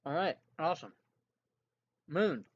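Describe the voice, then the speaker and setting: A man's voice: on the high side, expressive, unhurried, muffled recording. A man acting as game master, chatting easygoingly with his players over voice chat and laughing often. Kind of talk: running an online tabletop game session.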